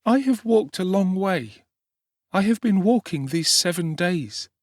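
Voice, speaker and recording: Meek, starving orphan lad for a classic audiobook male actor, plaintive and earnest in a raised, boyish pitch. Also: expressive, a little slow, dry recording, studio quality. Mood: tearful, exhausted. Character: weary, hungry, meek young orphan boy.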